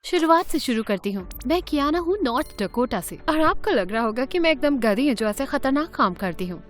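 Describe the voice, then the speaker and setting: A woman narrating an animated story in first person in an expressive, chatty way. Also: high-pitched, fairly quick.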